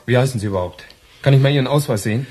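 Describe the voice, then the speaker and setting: An actor playing a dry, ironic, officious police detective in a radio crime drama, with a husky voice. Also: male voice, mid-range voice, very fast, background noise, lightly acted. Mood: probing, dry. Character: sardonic male police detective questioning a witness. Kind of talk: 1980s German radio crime drama acting.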